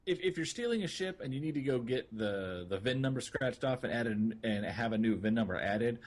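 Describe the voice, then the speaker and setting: Slightly husky man chatting on a podcast. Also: mid-range voice, animated, brisk. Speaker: podcast co-host. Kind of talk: casual podcast chat about gaming.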